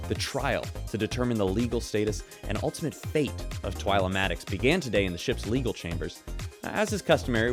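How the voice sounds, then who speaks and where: A man hosting a radio show in scripted narration, with smooth broadcaster delivery. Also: on the low side.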